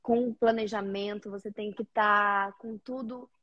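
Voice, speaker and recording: A woman's voice: medium pitch, fairly even intonation, unhurried, muffled recording. A woman from Paraná, Brazil, a guest on a video-call interview, friendly and slightly nervous, with many fillers. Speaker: interview guest, house cleaner. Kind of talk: casual interview.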